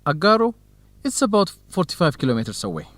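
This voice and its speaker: Warm young student in a radio drama, super expressive pitch, dry sound. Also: male voice, quick pace, dry recording. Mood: helpful, interested. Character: warm, hospitable young Ethiopian man, student researcher. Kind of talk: scripted educational radio drama dialogue.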